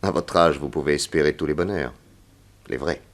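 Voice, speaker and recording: A man playing a grave, persuasive detective in a 1960s French radio serial, formal, deliberate and insistent. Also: extremely low pitch. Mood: gravely persuasive. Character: grave, persuasive male detective, solemnly warning a young woman.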